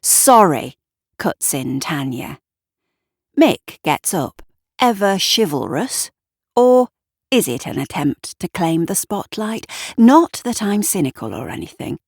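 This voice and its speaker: A woman's voice: lowish voice, big pitch swings, slow pace, darkish tone, breathy edge, dry recording, studio quality. A woman narrating scripted fiction dramatically, with wry, conversational asides to the listener. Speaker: storyteller narrating audio fiction.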